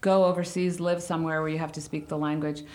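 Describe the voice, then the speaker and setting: A woman's voice: low-pitched, flat delivery, fast talker, darkish tone. A woman whose first language is Hungarian, a podcast guest talking politics in a thoughtful, hedging way. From United States. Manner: thoughtful, hedging, with small laughs. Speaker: podcast guest, former congressional staffer. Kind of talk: casual podcast conversation.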